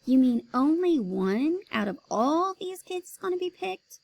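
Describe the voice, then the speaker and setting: A woman's voice: high-pitched, very animated, a little slow, creaky, darkish tone, no echo, voice pushed up, heavily acted. A woman reading aloud, voicing a curious, indignant schoolboy who asks pointed questions.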